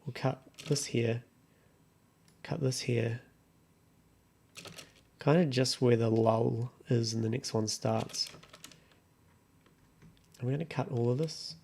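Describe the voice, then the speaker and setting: A man's voice: medium pitch, steady tone, slow pace, pauses often, dark tone. A man casually thinking aloud as he walks through music production steps on his computer, offhand and muttering to himself. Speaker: music production tutorial creator. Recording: clear background noise, keyboard and mouse clicks. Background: computer typing and clicking.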